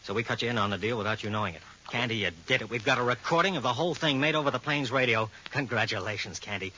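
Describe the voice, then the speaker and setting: An actor playing a brisk, shrewd male police inspector in a 1940s radio detective drama. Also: medium pitch, bright, forceful tone, natural voice. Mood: brisk, satisfied. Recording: hissy, dull vintage radio recording.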